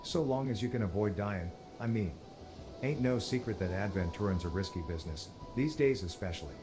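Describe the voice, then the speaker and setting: A man's voice: lowish voice, lively intonation, brisk, music in the background, close to an everyday voice. A man voicing a chatty, friendly travelling peddler in a video game, with a British accent and a folksy, rambling, colloquial way of talking. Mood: jovial, curious. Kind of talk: video game character dialogue.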